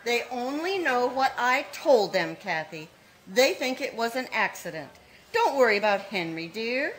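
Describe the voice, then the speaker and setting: An actress playing a cold, scheming older sister in a live old-time radio drama, speaking with cutting sarcasm. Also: female voice, a little high, very animated, a bit bright, low-quality recording. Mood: coaxing. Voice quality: cutting, sarcastic, slow and deliberate.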